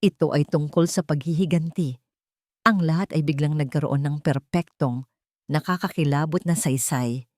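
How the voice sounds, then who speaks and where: Female storyteller, lower register, dry close sound, deliberate suspenseful delivery of scripted drama. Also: a little mellow, no room sound. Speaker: storyteller, narrator.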